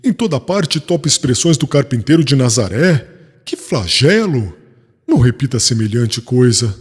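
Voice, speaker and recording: A man's voice: mid-range voice, sing-song, normal speed, breathy edge. A man playing a passionate, proud young fiancé in an audiobook drama, with theatrical, emphatic, formal delivery. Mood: indignant, anguished. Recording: long reverb, music behind.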